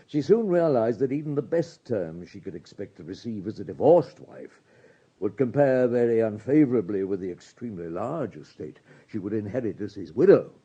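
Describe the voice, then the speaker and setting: An actor playing a shrewd, courteous police superintendent in a radio drama, polite, measured and insistent. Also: male voice, fairly low pitch, animated, mellow, husky, dull audio. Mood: coolly accusing.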